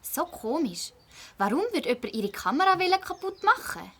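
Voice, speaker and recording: A woman playing an eager young girl detective in a children's audio play, earnest and animated, with a Swiss German accent. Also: high voice, moderate pace, full character voice. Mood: curious.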